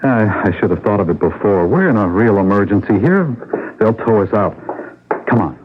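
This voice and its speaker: An actor playing a practical, earnest young husband in a 1980 radio mystery drama, firm in manner, on an old radio broadcast. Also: male voice, on the low side, lively intonation, normal speed, phone-quality sound, a little hiss or hum, close to an everyday voice. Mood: urgent, determined.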